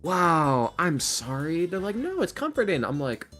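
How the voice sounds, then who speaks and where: A man who makes roleplay videos, talking casually as a podcast guest and acting out quotes as he tells stories in an animated way. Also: fairly high pitch, measured pace, soft background music. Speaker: interview guest, ASMR roleplay video creator.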